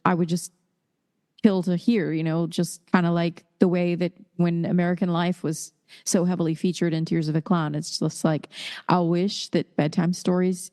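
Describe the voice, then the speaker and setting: A woman's voice: on the low side, level tone, normal speed, dark tone. A woman co-hosting a podcast in a casual, conversational style. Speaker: podcast co-host. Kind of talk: casual chat.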